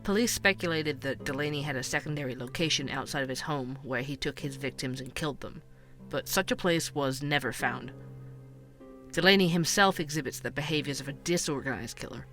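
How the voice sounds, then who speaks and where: A man playing an inquisitive true-crime podcast host, narrating in a measured, probing way. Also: fairly high pitch, bright, forceful tone, soft background music. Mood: measured, puzzled.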